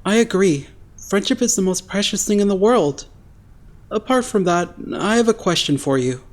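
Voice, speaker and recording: A woman's voice: medium pitch, moderate pace, lightly acted. A woman acting an earnest, naive and blunt teenage boy idol in an anime-style dub. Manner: straightforward, earnest.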